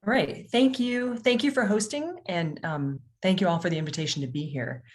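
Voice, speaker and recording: A woman, a history professor, giving a prepared talk as a panelist over a video call, in a measured, earnest way. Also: on the low side, darkish tone.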